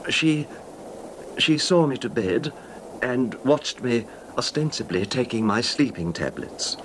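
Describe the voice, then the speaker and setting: An actor playing a meek, quietly vengeful husband confessing in a hesitant, genteel, understated way, in old-time radio drama style. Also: male voice, lively intonation, slow. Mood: quietly confiding. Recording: noisy vintage radio drama, rumbling background.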